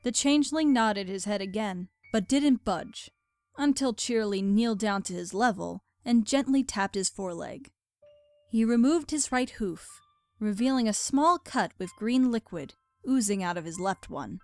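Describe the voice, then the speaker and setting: A woman's voice: medium pitch, animated, normal speed, no room sound, soft background music. A woman reading scripted story narration as the narrator of an audio drama.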